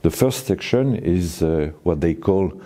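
A man in his sixties with a French accent, a cave owner giving a guided tour to camera, talking slowly and haltingly with many fillers. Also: deep voice, lively intonation, mellow. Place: forest outdoors, then inside a small cave.

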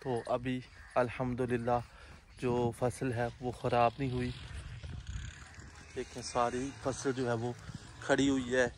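Man in his thirties, Punjabi accent, relaxed vlog commentary, phone at arm's length, faint outdoor noise. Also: mid-range voice, measured pace, pauses often. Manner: relaxed explaining while walking, hand gestures. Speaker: vlogger. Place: outdoors by wheat fields. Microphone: phone held at arm's length.

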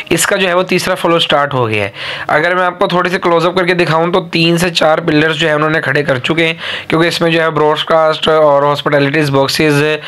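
Higher-voiced Pakistani man giving an update. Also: a bit bright, smooth. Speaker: YouTube channel host. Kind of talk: informal narrated news update.

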